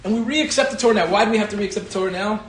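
A man, a rabbi, giving an animated Torah lecture and telling a personal story, repeating key phrases for emphasis. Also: high voice, very fast, roomy sound, slight background noise. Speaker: rabbi teaching a Torah class.

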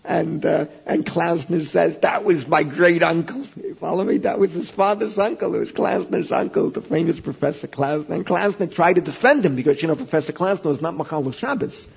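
Gravelly older man telling a story. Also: a little high, a little fast, hardly any pauses, dull audio. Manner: animated anecdotal, voices his own past lines loudly. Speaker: rabbi and teacher. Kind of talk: informal lecture storytelling.

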